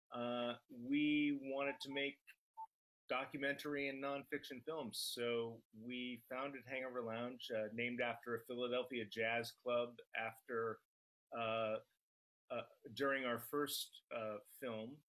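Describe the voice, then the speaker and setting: A middle-aged man, an interview guest, explaining in a measured, matter-of-fact conversational way. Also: medium pitch, fairly bright tone, low-quality recording.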